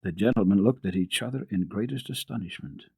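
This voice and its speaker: Husky male storyteller. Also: fairly low pitch, no echo, poor audio. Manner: deliberate, expressive storytelling. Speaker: narrator, radio storyteller. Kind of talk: dramatic reading of children's classic.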